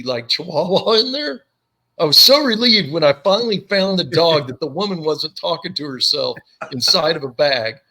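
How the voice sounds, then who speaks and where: An animated male podcast host with a bright, forceful tone and a slightly raised pitch. He tells funny stories in a loose, chatty way and acts out the people in them. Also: low-quality recording. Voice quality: low commanding voice. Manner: animated storyteller, acts out voices. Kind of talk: casual storytelling chat.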